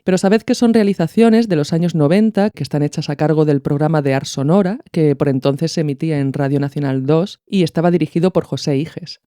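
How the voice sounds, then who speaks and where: A woman's voice: sits low, fairly quick, few pauses, dark tone, dry recording, studio quality. A woman hosting a podcast, explaining in a conversational, didactic way. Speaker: podcast host. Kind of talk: explanatory podcast commentary on radio art.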